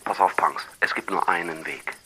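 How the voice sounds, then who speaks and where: An actor playing a cocky, slangy punk oddball in an audio drama, with a raspy voice and swaggering manner. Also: male voice, low-pitched, fairly even intonation, normal speed, bright, forceful tone, heavily acted. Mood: cocky, casual. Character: cocky, laid-back slangy male advice-giver, eccentric punk. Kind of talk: German children's audio drama acting.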